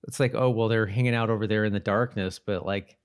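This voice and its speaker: A man's voice: lowish voice, steady tone, quick pace, smooth. A man co-hosting a podcast, giving casual, jokey commentary on a TV show. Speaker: podcast co-host.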